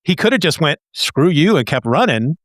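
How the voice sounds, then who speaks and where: A man's voice: on the high side, sing-song, normal speed, dry recording. A man co-hosting a podcast who often breaks in with short agreement and adds his own remarks. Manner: agreeable, frequent short affirmations. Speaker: podcast co-host. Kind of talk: casual comic-book discussion.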